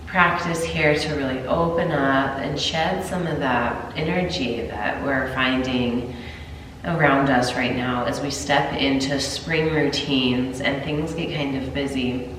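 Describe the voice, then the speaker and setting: A yoga teacher with a stuffy sinus cold talks her class through breathing and stretches in a very low, slow voice. She clears her throat now and then. She sits some way from the camera, and the room gives a strong, long echo. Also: distant mic, noisy background. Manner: calm, gentle cues with throat clearing. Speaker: yoga instructor. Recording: roomy echo, voice from afar.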